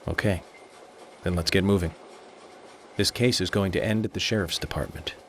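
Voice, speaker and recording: An actor with an American accent playing a composed, confident FBI agent in a video game, speaking in a measured, deliberate way. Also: male voice, low voice, fairly even intonation, a little hiss or hum, lightly acted. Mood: grave, resolute. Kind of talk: dramatic game cutscene acting.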